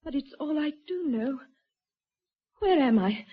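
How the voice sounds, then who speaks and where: High-voiced, frightened young prisoner. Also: female voice, animated. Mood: agitated, tearful. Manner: trembling, halting, pleading. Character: frightened, bewildered young woman prisoner, close to tears. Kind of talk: old-time radio melodrama. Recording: dull old radio broadcast, faint music.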